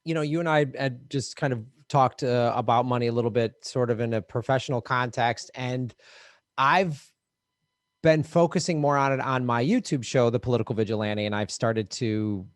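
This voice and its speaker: Brief: middle-aged Midwestern guy, lively storyteller, laughs a lot, close dry mic. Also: mid-range voice, normal speed, dry recording. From American Midwest. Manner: animated storyteller, laughs often, acts out voices. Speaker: podcast co-host, touring comedian. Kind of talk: casual chat.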